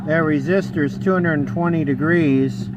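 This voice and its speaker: A man's voice: on the high side, fairly even intonation, moderate pace, highs cut off, very noisy. A man narrating a radio repair at his workbench in a casual way, explaining technical details as he thinks aloud.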